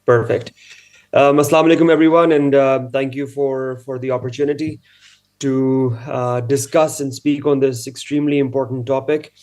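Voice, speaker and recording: A man's voice: mid-range voice, steady tone, normal speed, a little mellow. Man from Pakistan, panel speaker on a video call, earnest, restarts phrases. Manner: earnest, repeats words as he builds points. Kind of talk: conference talk via video call.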